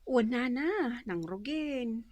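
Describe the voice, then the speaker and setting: A woman's voice: a little high, animated, slow pace, a little mellow, dry recording. A woman with an Ilocano accent playing an attentive grown daughter talking with her mother in a radio drama. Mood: earnest.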